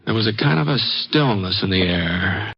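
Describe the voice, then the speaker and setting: An actor playing a mild, reluctant American gunfighter with a hesitant, folksy way of talking, in an old-time western radio drama. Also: male voice, on the low side, expressive, normal speed, vocal fry, a little hiss or hum. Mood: reflective narration. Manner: hesitant, folksy, understated drawl. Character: mild, reluctant middle-aged western gunfighter telling his tale. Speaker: actor and narrator. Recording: dull vintage radio recording, music behind.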